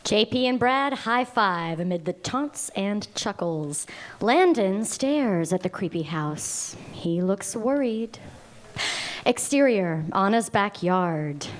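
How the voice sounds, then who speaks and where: A woman reading script stage directions aloud at a live table read in a deliberate, measured way. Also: medium pitch, lively intonation.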